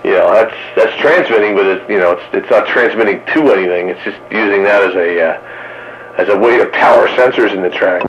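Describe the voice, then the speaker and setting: An American man chatting casually and haltingly over two-way radio, thinking aloud as he goes. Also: on the low side. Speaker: radio hobbyist in a group chat. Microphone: two-way radio, recorded from a receiver stream. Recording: lo-fi CB radio with static.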